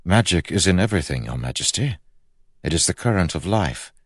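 A man voicing a calm, skeptical magician in an audiobook, with a dry, reasoned manner. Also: low-pitched, brisk, breathy edge, dry recording, pitched above the performer's own voice. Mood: reasoning. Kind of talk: audiobook character acting.

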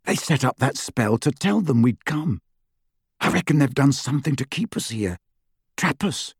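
A man voicing a frightened teenage wizard boy in an audiobook, speaking in an urgent hush. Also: medium pitch, expressive, moderate pace, creaky, breathy edge, no echo, studio quality. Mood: tense, wary. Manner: hushed, whispering. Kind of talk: audiobook character voice.

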